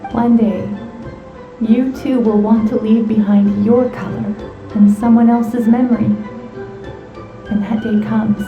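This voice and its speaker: Serene, high-voiced echoing shaman. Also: fairly even intonation, phone-quality sound. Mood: wistful, gentle. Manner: measured, solemn, tender. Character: gentle, wise female shaman friend, serene and wistful. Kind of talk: anime game dramatic voice acting. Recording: echoing, dreamlike reverb effect. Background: music.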